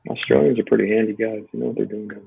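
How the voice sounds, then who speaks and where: A man's voice: on the low side, steady tone, very fast, gravelly, a little hiss or hum. A man talking casually as a contest judge, explaining technique in a conversational, encouraging way. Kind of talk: casual spoken critique and advice. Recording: thin phone-like voice channel.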